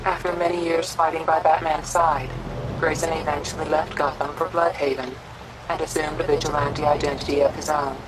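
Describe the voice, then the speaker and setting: A woman voicing a calm, impersonal computer assistant in a video game, narrating in a measured, clinical way. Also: low-pitched, background noise, close to an everyday voice. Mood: impersonal, informative.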